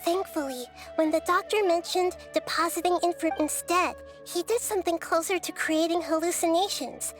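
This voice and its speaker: A woman voicing a wise, childlike little goddess in a video game, thoughtful and measured. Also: very high voice, soft background music. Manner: thoughtful, measured, speaks in analogies. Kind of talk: video game character acting.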